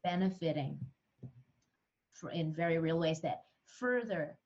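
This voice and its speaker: A woman's voice: low voice, lively intonation, unhurried, a little mellow, highs cut off. Middle-aged woman, frank and earnest. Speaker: guest. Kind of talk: candid interview talk.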